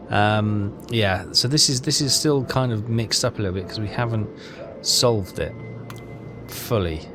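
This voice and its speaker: A man's voice: lowish voice, a little slow, music in the background. A man hosting a casual game walkthrough, hesitant and rambling as he thinks aloud. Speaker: gaming video host.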